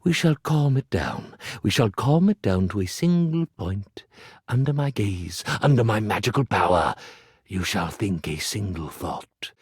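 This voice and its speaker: A man's voice: lowish voice, lively intonation, a little slow, a little mellow, slightly rough, no room sound, clean studio sound, exaggerated voice. An actor voicing a vain male stage magician who is a living clothes iron in a video game, speaking in a grandiose, flamboyant showman's manner. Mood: hypnotic. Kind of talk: theatrical video game character acting.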